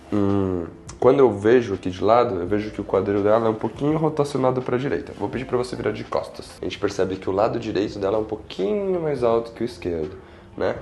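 A man's voice: fairly low pitch, creaky, a little mellow. A young Brazilian man working as a chiropractor, giving a patient reassuring instructions and explaining as he goes. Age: young adult. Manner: reassuring, checking in often. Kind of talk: instructing a patient during treatment, explaining to camera. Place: small indoor treatment room with large windows. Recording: roomy echo, faint music behind.

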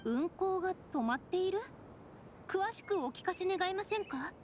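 Very high, polite young noblewoman. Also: animated, moderate pace, a little hiss or hum. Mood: polite, inquiring. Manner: soft-spoken, courteous, formal. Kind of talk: Japanese anime game voice acting.

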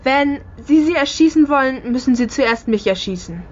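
A woman's voice: sits high, animated, normal speed, darkish tone, highs cut off. An actress playing a defiant, indignant young girl, the big sister, in a radio drama.

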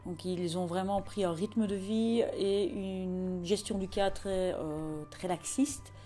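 A woman trainer speaks thoughtfully with a few hesitations, her voice mellow and warm. Faint music plays underneath. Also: mid-range voice, fairly even intonation, takes it slowly. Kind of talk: documentary interview.

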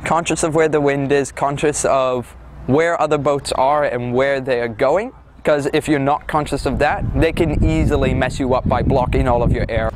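A man's voice: mid-range voice, fairly even intonation, moderate pace, a little hiss or hum. A teenage boy, a student sailor, explaining the sport in a matter-of-fact way outdoors on a sports field. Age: teens. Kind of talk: interview answers.

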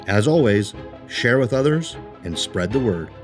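A man's voice: lowish voice, lively intonation, measured pace, loud music behind the voice. A man reading a scripted promotional announcement as an announcer.

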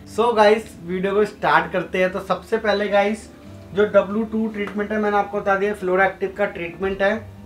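A young man teaching a hair treatment step by step in a chatty tutorial, often calling out to his viewers. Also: high-pitched. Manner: chatty, repeats "guys" and fillers. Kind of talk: casual tutorial explanation. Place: indoor studio with blue lights.

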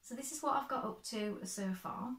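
A woman in her fifties, slightly croaky, chatting step by step through her sewing projects in a bright sewing room. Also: fairly high pitch, moderate pace, reverberant. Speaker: sewing vlogger. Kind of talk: casual show-and-tell.

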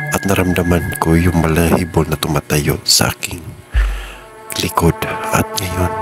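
Deep-voiced man narrating eerie voice-over. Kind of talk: dramatic horror voice-over narration. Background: loud music bed.

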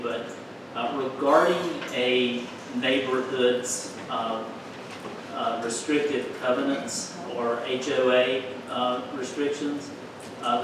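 A man of middling pitch addresses a public meeting. He sounds far from the mic, in a very echoey room with noticeable noise. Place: large meeting room, distant camera.

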